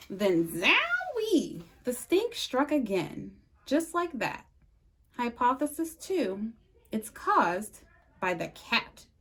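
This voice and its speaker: A woman reading a rhyming picture book aloud for storytime, with a lively sing-song delivery and slow, emphatic pauses. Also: on the high side, breathy edge. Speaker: storytime reader.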